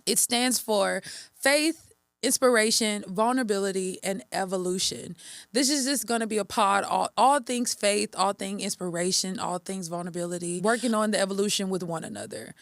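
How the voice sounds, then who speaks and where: A woman's voice: mid-range voice, normal speed, no echo, studio quality. Upbeat chatty young woman podcaster. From Texas, USA. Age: 20s. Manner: upbeat and chatty, with frequent ums and restarts. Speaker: podcast host. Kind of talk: casual solo podcast monologue.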